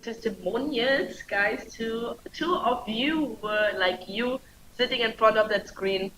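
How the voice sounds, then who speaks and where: A woman's voice: fairly high pitch, slow pace, fairly bright tone, rough recording. A woman hosting a live show in a chatty, loose way, full of fillers. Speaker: show host.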